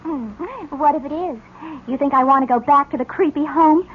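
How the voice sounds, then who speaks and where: A woman's voice: sits high, moderate pace, natural voice. An actress playing a brazen, coy sixteen-year-old girl who mocks and teases, in an old-time radio drama. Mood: smug, taunting. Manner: coy, mocking, giggly. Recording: muffled, noisy old radio recording.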